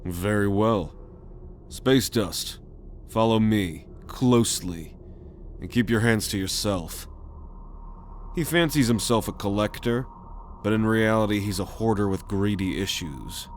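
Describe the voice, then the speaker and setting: Man playing a low-voiced sardonic alien rogue. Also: a little slow, long pauses, slight background noise, natural voice. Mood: dry, commanding. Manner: sardonic, commanding. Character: cocky male alien rogue, bold leader on a mission. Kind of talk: ASMR roleplay audio drama.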